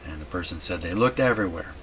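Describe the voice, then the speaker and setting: A man giving unscripted, halting commentary as he thinks aloud while doing hands-on repair work. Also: low voice, expressive, fairly bright tone. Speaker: radio repair hobbyist narrating a workshop video. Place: indoor radio repair workshop. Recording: clearly noisy workshop recording.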